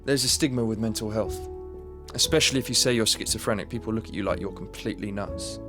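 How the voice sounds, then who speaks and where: A man's voice: on the low side, fast talker, over music, lightly acted. An actor playing a young man with schizophrenia, a nervous, stammering musician, in a radio drama. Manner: stammering, hesitant restarts.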